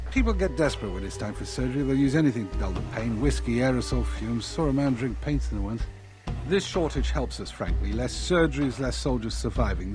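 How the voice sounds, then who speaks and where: An actor playing a cynical middle-aged mercenary fixer in a video game, briefing in a dry, matter-of-fact way. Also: male voice, medium pitch, close to an everyday voice. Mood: cool, cynical. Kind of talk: video game cutscene acting. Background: music.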